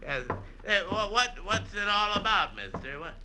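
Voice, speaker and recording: An actor playing a scruffy, simple beachcomber who stammers and rambles in rough grammar, in 1940s radio drama style. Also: male voice, sits high, big pitch swings, moderate pace. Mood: nervous, bewildered. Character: snaggle-toothed scruffy beachcomber, jittery and simple, male. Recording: old radio broadcast with background noise.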